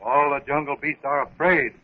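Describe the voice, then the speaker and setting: A man's voice: a little high, steady tone, moderate pace, slight background noise, natural voice. An actor playing a solemn, watchful jungle-raised wild man in terse, formal speech, in 1930s radio serial style. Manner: terse, formal, simple declarative speech with animal-language words. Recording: tinny muffled 1930s radio recording.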